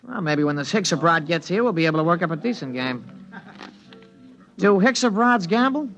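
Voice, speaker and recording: A man's voice: a little high, lively intonation, normal speed, fairly bright tone, faint music behind, poor audio. An actor playing a cocky, hot-headed young spaceman with an American accent in an old radio drama. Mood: needling. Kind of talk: 1950s radio drama acting.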